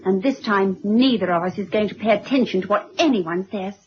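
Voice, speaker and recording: An actress playing a gushing, defiant young upper-class daughter, speaking emphatically in a 1970s radio drama. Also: female voice, medium pitch, brisk, slight background noise, close to an everyday voice. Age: young adult. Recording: dull old radio drama recording.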